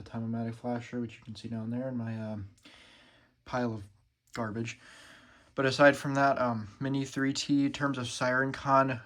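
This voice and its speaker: A man's voice: medium pitch, a bit bright. Sick young man rambling casually. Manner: rambling, many ums and uhs, loose and casual. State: sore throat, feeling sick. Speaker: siren collector vlogger. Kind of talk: casual show-and-tell vlog.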